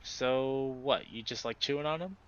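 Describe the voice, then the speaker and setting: Man voicing an easygoing wolf, slightly raised pitch. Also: normal speed, fairly bright tone, lightly acted. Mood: teasing. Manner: casual, good-humored teasing. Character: easygoing, affectionate male wolf, old friend. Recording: dull, noisy home recording.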